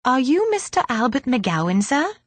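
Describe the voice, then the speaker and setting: A woman's voice: high voice, sing-song, normal speed, dry recording, voice pushed up. A woman reading a storybook voices a polite, eager schoolgirl who is curious and well-mannered.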